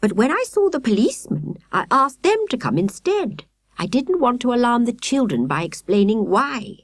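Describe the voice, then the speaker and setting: A woman's voice: fairly high pitch, big pitch swings, moderate pace, no room sound, voice pushed up. A woman voicing a flustered, well-meaning, apologetic British lady in a children's storybook, exclamatory and fretful. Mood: explaining earnestly. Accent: British English.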